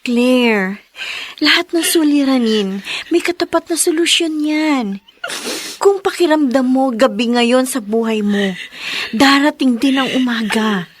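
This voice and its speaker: High-voiced, gentle, consoling best friend. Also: female voice, measured pace. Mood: earnest, consoling. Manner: soft, coaxing, earnest. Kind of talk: melodramatic radio drama acting.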